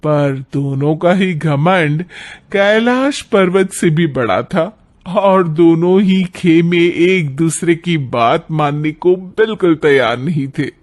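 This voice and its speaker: A man reads a scripted cartoon story as narrator. His voice is fairly high for a man, and he takes his time. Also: slow.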